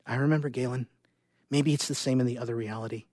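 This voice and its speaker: A man's voice: mid-range voice, fairly even intonation, fast talker, darkish tone, no room sound, studio quality, pitched below the performer's own voice, close to an everyday voice. A man voicing an anxious, hesitant scientist wife pleading in a dramatic short story. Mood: subdued.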